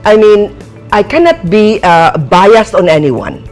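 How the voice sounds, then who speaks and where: Older woman, Visayan accent, low husky voice; poised guest chat over soft music. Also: very animated, unhurried. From Cebu, Philippines. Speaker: guest actress. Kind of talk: casual interview chat.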